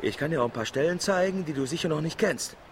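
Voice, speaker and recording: An actor playing an assured, coaxing uncle and amateur marine researcher in a German radio drama. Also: male voice, a little high, lively intonation, fast talker, slight background noise, close to an everyday voice. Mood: breezy, coaxing.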